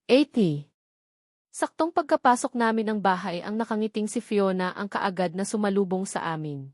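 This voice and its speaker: A woman reads a first-person novel as a scripted narration. Her voice sits at a middling female pitch. The sound is dry, with very little room in it. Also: lively intonation, moderate pace, darkish tone, no room sound. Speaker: audiobook narrator.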